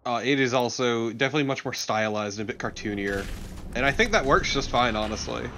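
A man chats casually and bluntly as co-host of a game commentary. His voice is bright and forceful at a middle pitch, with faint game noise behind. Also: fast talker. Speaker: co-host of a Let's Play. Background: video game sounds.

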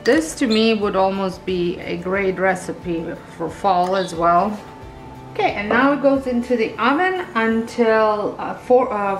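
Slow-talking woman narrating a home bake. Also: on the high side, poor audio. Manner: relaxed, chatty, with fillers and self-corrections. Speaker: baking video host. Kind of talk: step-by-step baking narration. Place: home kitchen.

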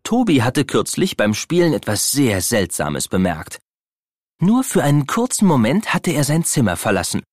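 A man narrating a children's audio play as an expressive, dramatic storyteller. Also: medium pitch, breathy edge, dry recording, studio quality.

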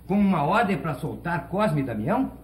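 An older Brazilian man playing an excitable, suspicious, questioning old gentleman in a 1970s telenovela. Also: fairly high pitch, brisk. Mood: suspicious, incredulous. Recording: noisy old 1970s TV soundtrack.

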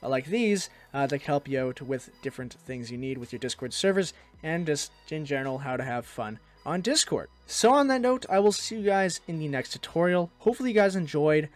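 Casual man with a slightly higher voice. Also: lively intonation, brisk, hardly any pauses, soft background music. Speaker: tutorial host. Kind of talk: casual software tutorial narration.

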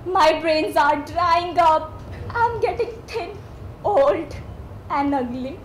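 A woman's voice: very high voice, takes it slowly. A woman playing a tearful despairing young woman. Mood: weeping. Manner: tearful, anguished outbursts. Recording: echoey stage audio, faint hum.

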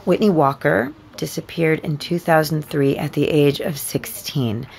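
A woman playing a measured, persistent interviewer in a naturalistic audio drama, deliberate and probing. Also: low voice, vocal fry, darkish tone, a little hiss or hum. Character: measured, persistent interviewer reading a case report.

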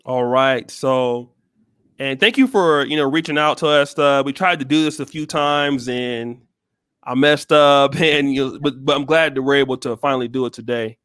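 A man's voice: mid-range voice, moderate pace, clean tone, no echo. Man hosting with a dry headset mic. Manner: relaxed, hesitant, restarts questions. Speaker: host. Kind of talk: casual interview over video call. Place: small room indoors. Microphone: headset and studio mic.

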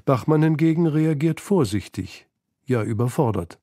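Mellow male documentary narrator, dry sound. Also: fairly high pitch, expressive, moderate pace, dry recording. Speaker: feature narrator. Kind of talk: scripted literary documentary narration.

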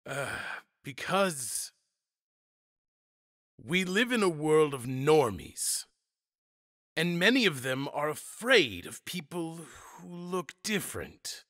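Casting: man as careful, patient monster dad in audio drama, slowly measured delivery with lively pitch swings. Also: no echo, clean studio sound, full character voice. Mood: grave, explaining. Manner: measured, explanatory. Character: patient, careful monster father explaining things gently.

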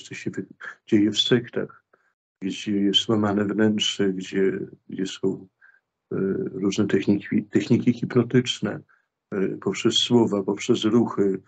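Middle-aged male priest, lowish voice, earnest and halting, webcam call from a small room. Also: creaky, slightly rough, poor audio. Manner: earnest, hesitant, many fillers and restarts. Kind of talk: religious talk in a video interview. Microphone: video call webcam.

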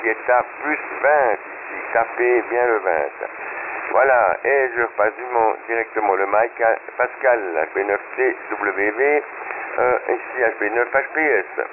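A man's voice: medium pitch, unhurried. Courteous man on hissy radio. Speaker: ham radio operator. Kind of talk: casual radio chat giving signal reports. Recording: tinny shortwave radio with hiss.

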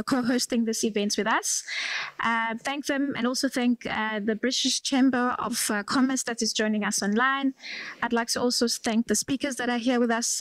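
Brief: woman, 30s, from South Africa, soft and hesitant, reading closing thanks at a business forum. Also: on the high side, dry recording, rough recording. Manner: soft-spoken, hesitant with many fillers. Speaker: regional business forum chair giving vote of thanks. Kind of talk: formal closing remarks, reading notes. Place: small conference room with audience. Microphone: handheld microphone.

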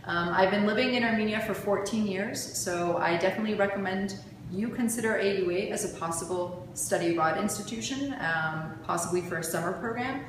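A woman's voice: medium pitch, a little fast. A middle-aged American woman, a university admissions director, giving a promotional address to a camera some distance away. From Boston, United States. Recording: very echoey hall, distant mic, noisy.

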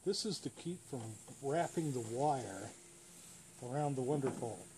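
An American man hosts a hands-on demonstration in a casual, plain-spoken way. His voice sits at an average pitch as he explains each step. He is recorded outdoors on a camera mic, with clear ambient noise behind him. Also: lively intonation, measured pace. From United States. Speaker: host, radio hobbyist demonstrating a home-built antenna. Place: outdoors beside a parked car.

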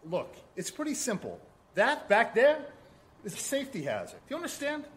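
A man's voice: very high-pitched, wildly swooping pitch, a little fast, a little hiss or hum, heavily acted. A man playing a bullying, sarcastic boss who browbeats his worker in an audio drama. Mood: angry, scornful.

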